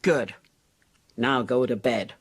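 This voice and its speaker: A woman's voice: a bass voice, takes it slowly, slightly rough, heavily acted. An actress playing a cold, domineering older mother who questions and orders her grown children curtly in a radio drama. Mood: stern, controlling. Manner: curt, commanding, interrogating.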